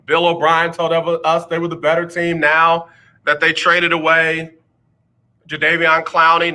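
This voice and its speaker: A man's voice: a little high, pushed and bright. A man hosting a casual sports talk radio show, teasing listeners with rhetorical questions. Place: radio studio.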